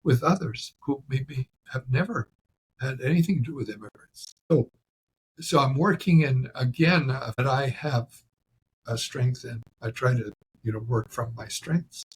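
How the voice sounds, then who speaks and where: A middle-aged Canadian-born man with a slight Ukrainian accent, answering interview questions in a measured way, often pausing with fillers. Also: medium pitch, slight background noise, poor audio. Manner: measured, explains by analogy with frequent fillers. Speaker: guest, writer and journalist.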